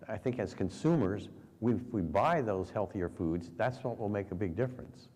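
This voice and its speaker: A man's voice: fairly low pitch, expressive, normal speed, a little mellow, husky. A man giving direct, opinionated answers on a conference panel, speaking informally in an echoing hall. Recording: reverberant hall with faint background noise.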